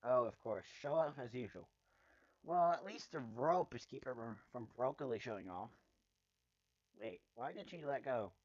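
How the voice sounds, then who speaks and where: A man's voice: mid-range voice, lively intonation, moderate pace, darkish tone, no room sound. Theatrical man reading fiction, dull lo-fi sound. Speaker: amateur fanfiction reader. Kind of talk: dramatic first-person story narration.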